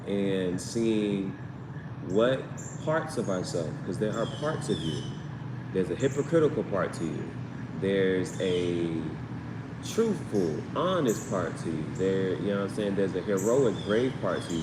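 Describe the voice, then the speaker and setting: A man hosting a casual live stream as a tarot reader, rambling with slangy asides and repeating himself as he goes. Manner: repetitive, tangential, slangy asides. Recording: roomy, distant mic, noisy background with vehicle rumble.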